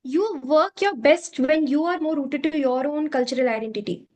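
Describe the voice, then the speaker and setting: Indian woman, Hindi her first language, high-pitched, explains earnestly in an interview, restates each point. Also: moderate pace. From South India. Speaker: curriculum developer, interview guest.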